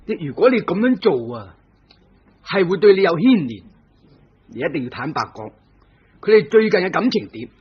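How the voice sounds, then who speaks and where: Cast: hard-pressing radio-play police inspector, husky and lively, slightly high, on a dull old recording. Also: male voice, normal speed, long pauses, heavily acted. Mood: stern. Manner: firm, pressing interrogation. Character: stern probing male police inspector. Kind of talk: vintage radio drama acting.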